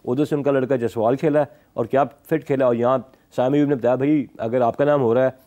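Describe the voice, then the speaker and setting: A Pakistani man speaks as a cricket analyst on a sports talk show. His voice is dark and mellow at a middling pitch. He explains his points emphatically and moves freely between two languages. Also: fairly even intonation, brisk. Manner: emphatic, explanatory, switching often between Urdu and English.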